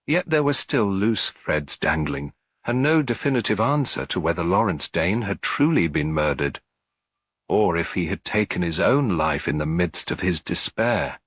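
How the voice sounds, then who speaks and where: A man's voice: low-pitched, big pitch swings, moderate pace, fairly bright tone, slightly rough, dry recording. A man reading a scripted detective story as an audiobook narrator.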